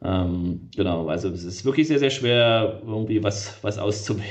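A man's voice: on the low side, moderate pace. A middle-aged man, a game developer being interviewed, talking casually and hesitantly with many fillers and restarts.

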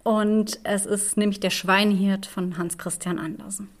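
A woman's voice: medium pitch, steady tone, normal speed. Woman telling a fairy tale. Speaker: podcast host and storyteller. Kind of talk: fairy-tale narration and discussion.

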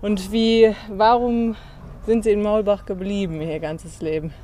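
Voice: mid-range voice, steady tone, unhurried, mellow, slight background noise. A podcast interviewer and narrator who moves between conversational questions and reflective storytelling.

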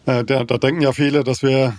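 A man in his fifties, a naturopath co-hosting a podcast, explaining in a measured, even way with frequent fillers. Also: medium pitch, dry recording, studio quality. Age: 50s. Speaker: naturopath and co-host. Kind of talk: casual podcast conversation.

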